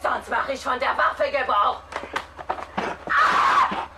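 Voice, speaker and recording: Defiant female astronaut, sci-fi radio play; wildly varied pitch, very noisy distant recording. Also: huge pitch swings, slow, reverberant, exaggerated voice. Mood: commanding, agitated. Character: defiant armed female astronaut turning on her crewmates. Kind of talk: German science fiction radio drama acting.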